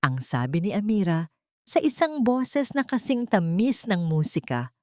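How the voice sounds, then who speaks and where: A woman narrating a scripted dramatic story in a sentimental, deliberate way. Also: mid-range voice, sing-song, mellow, dry recording, studio quality.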